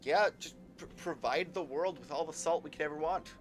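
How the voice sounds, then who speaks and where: A man doing casual live gameplay commentary as a streamer, halting and repeating words as he thinks aloud. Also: a little high. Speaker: game streamer. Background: game music.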